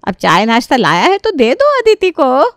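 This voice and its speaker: A woman's voice: sits high, wildly swooping pitch, normal speed, dry recording, exaggerated voice. Woman doing a naggy middle-aged saas, scheming n grumbly, cartoon story voice, really dry recording. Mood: bossy, scolding. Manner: scolding, sarcastic, complaining. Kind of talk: Hindi animated moral story acting.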